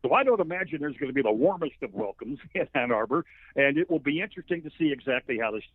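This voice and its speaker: A man's voice: fairly high pitch, expressive, fast, no room sound. Man on a thin phone line, talking football. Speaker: sports play-by-play broadcaster, interview guest. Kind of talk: conversational football analysis.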